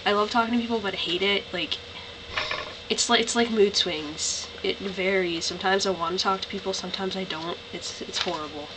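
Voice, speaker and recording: A tired young woman with a Canadian accent, rambling through an accent-tag vlog with lots of "like" and "um". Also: medium pitch. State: tired, voice lower than usual. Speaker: vlogger. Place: bedroom. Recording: noisy home webcam recording.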